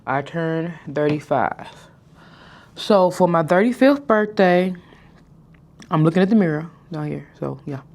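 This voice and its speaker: Woman, thirties, casual vlog chat, slight stutter, slows down to get words out, indoor desk setup. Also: low-pitched, lively intonation, a little mellow. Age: 30s. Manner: stutters and mispronounces words, talks slowly on purpose. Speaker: vlogger. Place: hotel room, indoors.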